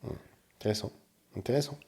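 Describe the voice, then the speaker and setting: A man talking as a podcast guest, animated and explaining his views with examples. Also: fast talker. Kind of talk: casual podcast chat.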